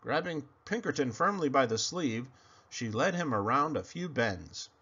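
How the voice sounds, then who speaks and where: A man reading a children's picture book aloud in an animated, dramatic, sing-song way. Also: medium pitch, unhurried, slightly rough. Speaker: read-aloud storyteller. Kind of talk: expressive children's story reading. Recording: dull lo-fi sound.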